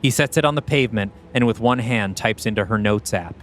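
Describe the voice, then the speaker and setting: A man's voice: fairly low pitch, fairly quick, a bit bright, soft background music. A man reading scripted screenplay action as narration for a podcast.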